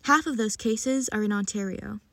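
A woman's voice: mid-range voice, moderate pace, sharp tone, no echo. A woman reading scripted news as a radio host.

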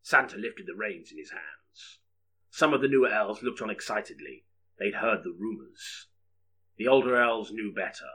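A man's voice: on the low side, expressive, moderate pace, pushed and bright, slightly rough, rough recording. A man narrating an audiobook story in a dramatic, scripted storytelling style.

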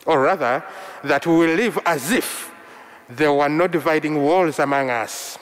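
A man from Uganda whose first language is Luganda, preaching a sermon in slow, measured short phrases. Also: on the high side. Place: church. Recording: long reverb of a large hall.

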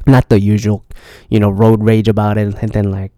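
A man's voice: lowish voice, flat delivery, moderate pace, dark tone, no echo, clean studio sound. A tired man talking casually in a rambling, profane solo podcast, with many restarts. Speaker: podcast host. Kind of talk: casual solo travel chat.

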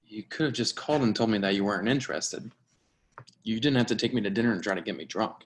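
An actor reads a polite, guarded professional in a screen drama. His voice sits a little low. He speaks hesitantly, with a nervous, reserved courtesy. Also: quick pace, natural voice. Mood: flustered. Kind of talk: dramatic screenplay table read.

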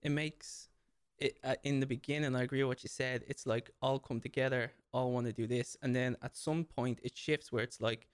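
A man's voice: mid-range voice, steady tone, normal speed, clear, resonant tone, no echo. Chatty Irish man, dry close sound. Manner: chatty, heavy use of "you know". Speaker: fitness coach guest. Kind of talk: casual podcast conversation.

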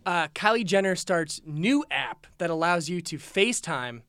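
A man in casual, comedic conversation, telling stories in an animated way and quoting his own thoughts. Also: high-pitched, moderate pace, smooth, dry recording. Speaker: podcast guest.

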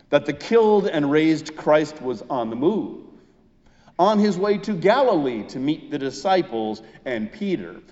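An American man preaching a sermon as a pastor, in a deliberate, emphatic way with pauses between phrases. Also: mid-range voice, a little mellow. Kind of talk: Easter sermon, measured scripted preaching. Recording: muffled, long church-like reverb.

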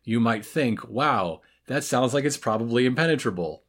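A man's voice: medium pitch, lively intonation, moderate pace, fairly bright tone. A man hosting a podcast in casual chat, with a wry, rambling and somewhat hesitant manner. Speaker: podcast host.

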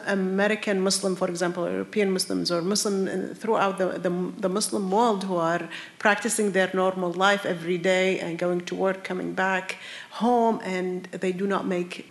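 A woman with a medium-pitched voice speaks on a panel in non-native English. Her delivery is unscripted and halting, with words repeated as she builds her sentences. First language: not English.

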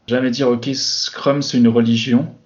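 A man's voice: mid-range voice, little pitch movement, unhurried, highs cut off. Hesitant, reflective man on a podcast. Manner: reflective, many hesitations and restarts. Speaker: Scrum Master guest. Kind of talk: casual podcast conversation.

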